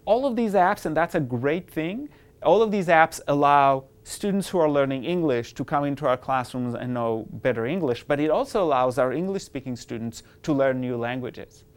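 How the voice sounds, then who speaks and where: A man's voice: a little high, animated, moderate pace, smooth. A man whose first language is Hebrew, presenting an upbeat, explanatory screencast tutorial on classroom apps. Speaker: educational technology presenter.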